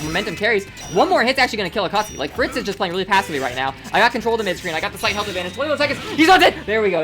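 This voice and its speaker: A woman doing casual play-by-play commentary on a fighting game tournament, talking excitably with many "like" and "uh" fillers. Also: mid-range voice. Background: game music playing.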